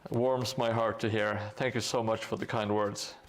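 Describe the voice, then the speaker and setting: A man streaming live, chatting casually and thanking viewers while he paints, with a relaxed, hesitant delivery full of fillers. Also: fairly low pitch, normal speed, slight background noise.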